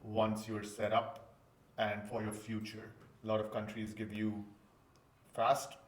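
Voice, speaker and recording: A man's voice: lowish voice, monotone, slow. A man from India speaking casually as a guest in an interview, recorded in an echoing room. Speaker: interview guest, golden visa client. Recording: roomy, echoing indoor sound.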